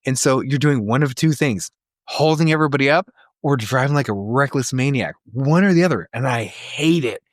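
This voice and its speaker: A man talks as a podcast co-host in loose, casual banter. He is blunt and emphatic, swearing freely as he gives his opinions. His voice sits at a middling pitch, with a very dry sound free of room echo. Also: fairly quick.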